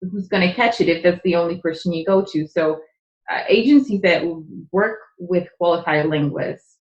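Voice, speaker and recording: A woman with a fairly low voice speaks as a podcast guest, earnestly explaining her point and filling pauses with "you know" and "um." Her first language is Czech. The audio sounds roomy and a little muffled. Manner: earnest, explanatory, with frequent fillers. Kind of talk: conversational interview.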